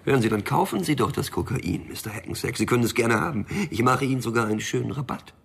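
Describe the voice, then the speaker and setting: An actor playing a defiant, sardonic small-time hustler in a radio thriller, with a husky, creaky voice and blunt delivery. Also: male voice, mid-range voice, fast. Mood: sardonic, wary. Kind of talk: radio crime drama acting.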